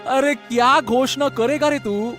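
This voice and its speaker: Rustic elder brother: simple, excitable, plaintive, very high voice, storybook melodrama. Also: male voice, a little slow, faint music behind. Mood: plaintive alarm. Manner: plaintive, folksy, colloquial. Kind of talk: melodramatic storybook audio drama.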